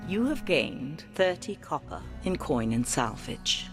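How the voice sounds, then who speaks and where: An actor voicing a game narrator who gives scripted instructions. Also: male voice, fairly high pitch, very animated, slow pace, music in the background, full character voice. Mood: instructive. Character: male game narrator giving button instructions.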